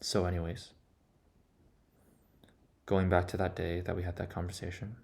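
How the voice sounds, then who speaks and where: This is a man with a low voice that stays fairly flat in its melody. He speaks in short, deliberate phrases, earnest and confessional. It sounds like a personal monologue in which he reflects aloud. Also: vocal fry. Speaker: vlogger.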